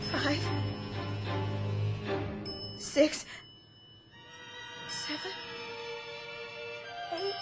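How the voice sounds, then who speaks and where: A very high-voiced, frightened young woman in a radio drama. She stammers and pleads breathlessly, her words coming slowly, with music playing underneath. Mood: frantic pleading. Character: frightened young woman trapped alone in a department store.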